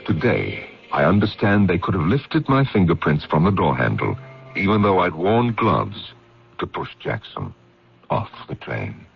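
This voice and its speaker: A man's voice: low-pitched, very animated, unhurried, vocal fry, full character voice. An actor playing a self-satisfied British intelligence operative recalling his case in a radio drama, on a dull old recording. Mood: smug, reflective.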